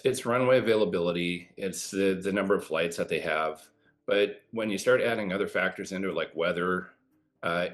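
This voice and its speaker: A middle-aged man, a former pilot, explaining airport safety in a measured way with pauses and fillers. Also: low voice, a bit bright. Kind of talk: explanatory news interview commentary.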